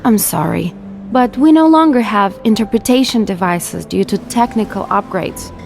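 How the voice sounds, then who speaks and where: Polite creaky-voiced female museum attendant, acted by a woman. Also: medium pitch, lively intonation, normal speed, a little mellow, soft background music. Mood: polite, formal. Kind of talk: formal customer service game dialogue.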